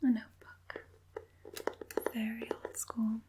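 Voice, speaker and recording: A woman playing an evil witch puppet master in an ASMR roleplay, whispering softly in a slow, soothing and quietly persuasive way. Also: a little high, completely flat delivery, close to an everyday voice. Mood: calm, methodical. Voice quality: breathy whisper.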